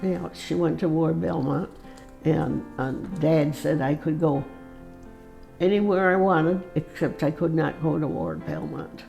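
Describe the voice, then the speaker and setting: A woman of about a hundred recalling old family stories in a slow, halting way, with many pauses and fillers. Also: low-pitched, animated, vocal fry, dark tone, soft background music. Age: 100s. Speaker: interviewee. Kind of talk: casual storytelling.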